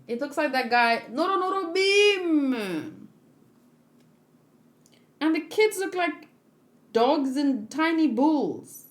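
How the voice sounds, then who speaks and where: A woman streaming a casual manga read-along, musing aloud and chatting with viewers as she goes. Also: high voice.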